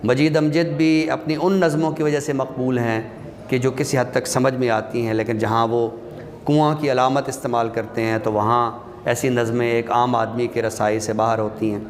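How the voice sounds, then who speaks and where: A man's voice: medium pitch, fairly even intonation. A man giving a classroom lecture as a literature teacher, explaining things step by step and addressing his listeners directly. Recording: reverberant hall, clear background noise.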